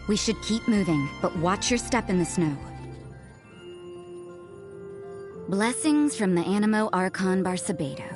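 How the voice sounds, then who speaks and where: Woman doing a world-weary cynical nun from a game, deep for a woman, cool n deadpan, music behind. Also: close to an everyday voice. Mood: annoyed. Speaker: video game character voice. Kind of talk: scripted game voice lines.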